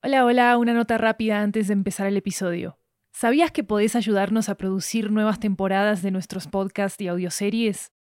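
A woman's voice: medium pitch, a little mellow, no room sound, studio quality. A woman with an Argentine accent reading scripted promotional narration as a podcast announcer.

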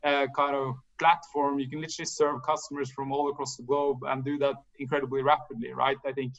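A man in his forties, a podcast guest telling his story in a thoughtful, measured way. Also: mid-range voice, flat delivery, a bit bright, dull audio. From Norway. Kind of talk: casual interview answers.

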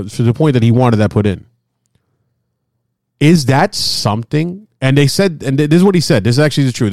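A man in his forties hosting a casual baseball chat, excitable and often repeating himself. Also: medium pitch, dry recording, clean studio sound. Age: 40s. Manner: excitable, repeats himself, stumbles over words. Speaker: host. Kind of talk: casual sports talk.

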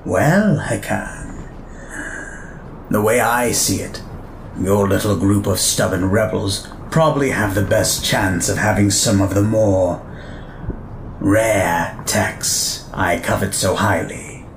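A low-voiced old alien warlord who speaks slowly and theatrically. He is imperious and quick to irritation, with a dry deadpan edge. The voice rings in a roomy space with faint noise behind it. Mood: grand, menacing. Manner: grandiose, deliberate, dry deadpan. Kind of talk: sci-fi audio drama acting.

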